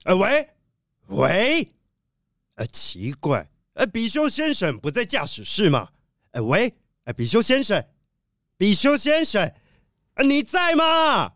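A man playing a polite, excitable treasure collector in a children's audio drama, with a dramatic storytelling manner. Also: high-pitched, slow, pauses often, no echo, studio quality, heavily acted. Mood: polite, flustered.

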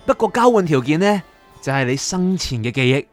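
A man acts a chatty, inquisitive lost soul in a radio drama. His voice swings widely in pitch, eager and coaxing, with music underneath. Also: big pitch swings, a little fast. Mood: pleading, bargaining. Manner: eager, wheedling, explanatory. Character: curious, talkative bargaining soul of an anime fan. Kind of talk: Cantonese radio drama acting.

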